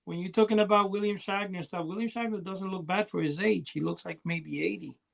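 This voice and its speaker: A man's voice: high voice, fairly even intonation, a little fast, gravelly. A man co-hosting a podcast, chatting casually and offering his opinions. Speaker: podcast co-host. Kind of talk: casual chat.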